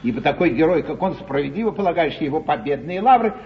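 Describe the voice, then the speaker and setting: An actor playing a suave, teasing aristocratic schemer in a theatrical court comedy, with a mocking, courtly, drawn-out delivery. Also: male voice, fairly high pitch, lively intonation. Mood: mock-reasonable. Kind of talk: 1950s theatrical comedy stage acting. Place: large theatre stage before an audience. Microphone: distant stage microphone. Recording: muffled, noisy 1950s live theatre recording, distant.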